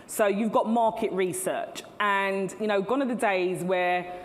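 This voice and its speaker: A woman's voice: mid-range voice, measured pace. A middle-aged woman giving a chatty keynote talk to a live audience, laughing at her own asides. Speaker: keynote speaker. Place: large hall at a tech event. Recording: long hall reverb, faint ambience.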